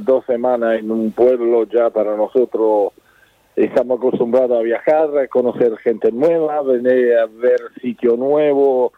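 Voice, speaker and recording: A circus manager with an Italian accent, speaking in a halting, repetitive, emphatic way in a radio interview. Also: male voice, mid-range voice, no echo. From born in Italy. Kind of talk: radio interview over the phone. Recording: tinny dry phone line.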